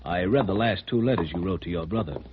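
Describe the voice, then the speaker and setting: An American actor playing a brisk, guarded army major in a 1950s radio drama, questioning in a clipped, official, probing way. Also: male voice, deep voice, close to an everyday voice. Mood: casually probing. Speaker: military security officer. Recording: muffled, hissy old radio recording.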